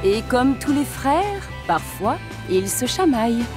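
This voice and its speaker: A woman's voice: high-pitched, very animated, slow, music in the background. A woman narrating a children's story in a scripted storytelling style.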